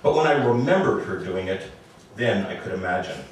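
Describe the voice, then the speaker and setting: A man giving a live author's reading of first-person prose in a measured, dry storytelling manner. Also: lowish voice, animated. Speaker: author reading at a literary event. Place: indoor literary party, before an audience. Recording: echoey room, distant mic, faint noise.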